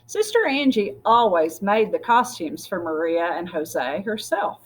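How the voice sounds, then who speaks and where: A woman with a slightly husky voice reads a picture book aloud, speaking directly to her listeners as a storyteller. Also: medium pitch, very animated, measured pace, poor audio. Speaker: story reader.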